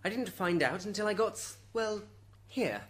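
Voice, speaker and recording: A man's voice: high-pitched, lively intonation, moderate pace. Man acting a stiff, resentful husband. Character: resentful dead husband testifying as witness. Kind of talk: amateur radio drama acting.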